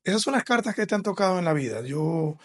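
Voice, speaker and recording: A man's voice: high voice, very animated, fast talker. A man from Ecuador, a school administrator, explaining his views earnestly in a podcast interview. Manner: earnest, explanatory, with fillers and restarts.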